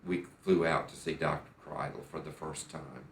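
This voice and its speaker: A man's voice: very deep voice, fairly even intonation, moderate pace, creaky, echoey room. A man with an American accent telling his story as a patient in a doctor's office, speaking carefully and often restarting his sentences. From United States. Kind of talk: personal testimonial.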